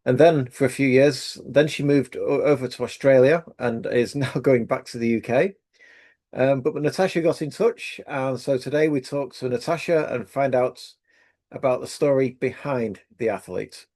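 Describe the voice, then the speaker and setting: A man's voice: medium pitch, normal speed, darkish tone. A man hosting an interview podcast, friendly and casual, often restarting his sentences. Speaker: podcast host.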